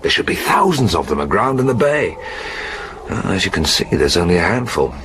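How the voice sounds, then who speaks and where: A man's voice: low voice, sing-song, fairly quick, fairly bright tone, a little hiss or hum. A man acting in a radio drama as an earnest, protective polar ice scientist who explains things methodically. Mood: puzzled, earnest.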